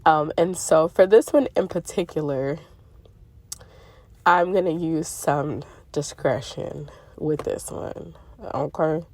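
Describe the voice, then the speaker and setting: A woman's voice: low-pitched, slow, darkish tone, no echo. A middle-aged woman with a congested voice, chatting in a rambling way with lots of fillers about her dreams, talking from a parked car. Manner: chatty, meandering, many fillers and self-corrections. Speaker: spiritual vlogger sharing dreams. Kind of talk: casual rambling monologue.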